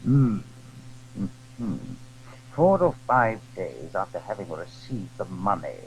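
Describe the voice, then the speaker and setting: A man playing a calculating, deferential Cardinal in a theatrical audio drama, speaking in a formal, deliberate way. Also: low voice, very animated, darkish tone, a little hiss or hum, exaggerated voice, low-quality recording. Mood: musing, calculating. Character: calculating, deferential Cardinal scheming at the royal court. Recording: 1980s audio drama recording.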